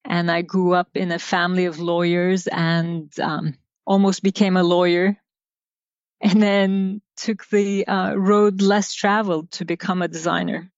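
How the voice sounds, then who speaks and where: A middle-aged woman from Turkey, a designer, telling stories from her childhood in an interview, unhurried and reflective with many pauses. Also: lowish voice, flat delivery, dry recording. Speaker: guest designer. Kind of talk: casual interview storytelling. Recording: dull lo-fi sound.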